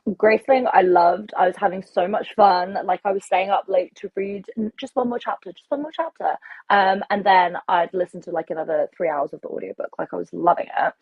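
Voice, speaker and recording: A woman's voice: mid-range voice, a little fast, slightly rough, no echo, phone-quality sound. A woman co-hosting a casual livestream chat about books, speaking informally with enthusiastic emphasis. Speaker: guest co-host, book channel creator.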